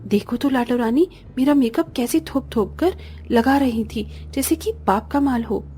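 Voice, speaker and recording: A woman's voice: sits high, measured pace, dark tone, slight background noise. A woman playing a young bride in a cartoon family drama who is polite on the surface and resentful underneath. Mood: sarcastic, seething.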